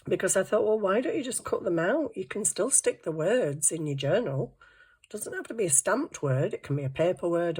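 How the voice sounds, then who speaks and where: A woman with a hoarse, croaky voice, chatting casually and thinking aloud through a crafting tutorial. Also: fairly low pitch. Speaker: craft vlogger.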